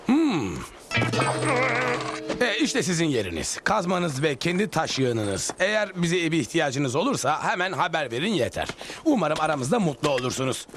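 A man's voice: a little high, sing-song, normal speed, over music. A man voicing a fawning, proud prison officer in a cartoon, gushing and ceremonious. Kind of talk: Turkish cartoon dub acting.

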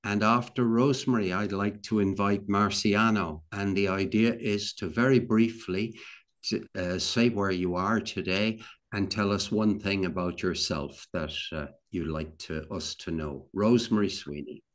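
Slow, low-voiced older man hosting. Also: steady tone. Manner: halting, repeats phrases. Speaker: meeting host. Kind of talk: hosting an online club meeting. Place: indoors on a video call. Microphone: video call.

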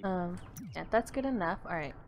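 A woman giving casual, rambling commentary as she plays a life-sim game, her voice slightly raspy and crackly, with many ums and likes. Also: fairly low pitch, lively intonation, normal speed, crowd noise. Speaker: gameplay YouTuber.

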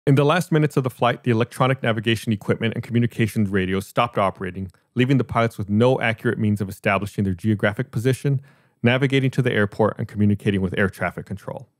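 A man's voice: on the low side, no echo, clean studio sound. An American man hosting a podcast, reading out and explaining a report in a matter-of-fact way. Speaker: podcast host.

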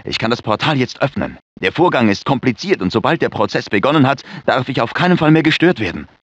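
Anxious scientist in dull, dry game audio. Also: male voice, mid-range voice, sing-song, fast talker, no room sound. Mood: instructive. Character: anxious, fussy male research scientist in a besieged lab. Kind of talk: dubbed video game voice acting.

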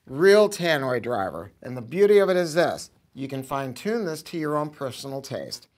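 A man's voice: a little high, pushed and bright. An American man in his sixties giving an enthusiastic, informal product review to camera, with an emphatic salesman's delivery. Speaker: audio store owner presenting a product review. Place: showroom, indoors.

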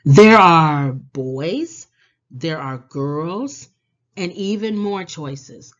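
Slow, expressive woman reading a storybook. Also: deep voice, muffled recording. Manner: deliberate, animated, with drawn-out words and pauses. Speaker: children's book read-aloud host. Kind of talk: expressive storybook read-aloud for young children.

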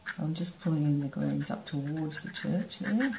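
A woman teaching painting, talking in a relaxed way as she paints and explaining each step. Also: sits low, normal speed, vocal fry, echoey room, noisy background. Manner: relaxed, thinking aloud while painting. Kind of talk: painting demonstration narration. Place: studio table, camera overhead.

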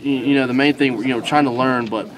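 A young man as a college football player, answering reporters in a halting way with many fillers and restarts, recorded on handheld recorders held close to him. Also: medium pitch, fairly even intonation, noisy background. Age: young adult. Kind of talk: post-practice media scrum answers. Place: indoor hallway, crowd of reporters.

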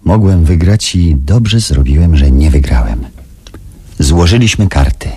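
An actor playing a calculating, ambitious businessman who tells his story in a measured, confiding way in a radio drama. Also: very deep voice, animated, mellow, a little hiss or hum. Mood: measured, watchful. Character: Frank, calculating ambitious businessman recounting events in first person. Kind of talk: Polish radio drama narration.

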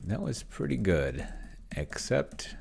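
Middle-aged man, low creaky voice, casual screencast host, halting muttered phrases. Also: very animated, a little mellow, slight background noise. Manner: halting, mutters while typing. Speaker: host of a solo roleplaying experiment vlog. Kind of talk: casual think-aloud screencast commentary. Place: small indoor room with bookshelves.

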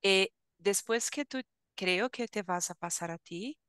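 A young woman teaching an online Spanish class, talking slowly and carefully, with encouraging remarks, over a headset on a video call. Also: medium pitch, a bit bright, clear, resonant tone, no room sound, studio quality. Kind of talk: online language lesson chat.

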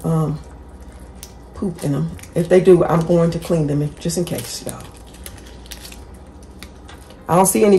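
A woman's voice: lowish voice, slight background noise. A woman hosting a casual cooking tutorial from her home kitchen, chatting straight to viewers and correcting herself as she goes. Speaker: cooking channel host.

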